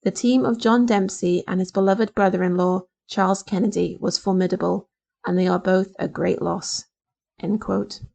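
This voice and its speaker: Woman, creaky edge, deliberate formal delivery, newspaper reading. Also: mid-range voice, steady tone, mellow. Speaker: volunteer newspaper reader. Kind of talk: scripted news reading for a talking newspaper.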